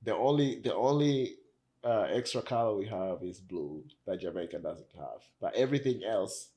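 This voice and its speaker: A man from Tanzania in casual conversation, relaxed, often repeating words as he thinks. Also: fairly low pitch, moderate pace.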